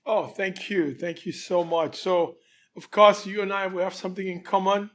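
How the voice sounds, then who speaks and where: Cameroonian man, fairly high voice. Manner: relaxed storytelling, frequent pauses with um. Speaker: guest. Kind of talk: conversational interview.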